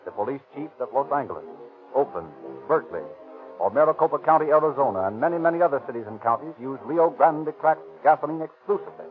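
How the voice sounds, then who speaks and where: A man announcing a 1930s radio crime show, formal and persuasive in his sales pitch. The sound is narrow and phone-like, as from an old broadcast, with faint music. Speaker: announcer and narrator.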